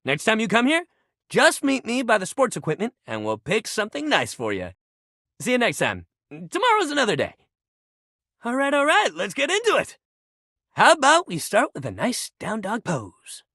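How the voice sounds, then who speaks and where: Peppy, high-pitched gym buddy. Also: male voice, huge pitch swings, normal speed, pushed and bright, no echo, studio quality, heavily acted. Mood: easygoing, then encouraging. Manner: peppy, encouraging, casual bro slang. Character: upbeat, supportive male gym-buddy fitness coach. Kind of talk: video game character voice lines.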